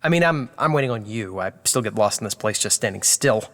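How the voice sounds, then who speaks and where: An actor playing a chatty, scatterbrained young schemer in a comedic audio drama, rambling and jokey. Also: male voice, lowish voice, lively intonation, fairly quick, a bit bright, long reverb tail. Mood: easygoing.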